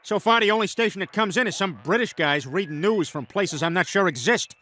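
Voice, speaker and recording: A man voicing a loud, dim, crude middle-aged family man in an animated comedy, with a nasal, blustering delivery. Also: high-pitched, fairly quick. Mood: puzzled.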